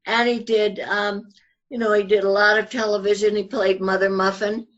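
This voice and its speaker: A woman's voice: mid-range voice, flat delivery, moderate pace. Older woman reminiscing, slightly muffled audio. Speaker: interview guest. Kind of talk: fond reminiscing in a casual interview.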